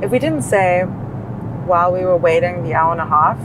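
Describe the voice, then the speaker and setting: A woman's voice: lowish voice, brisk. A woman in her thirties chatting casually as a vlog co-host, narrating a road trip from the passenger seat. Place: inside a moving car. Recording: steady road and cabin noise.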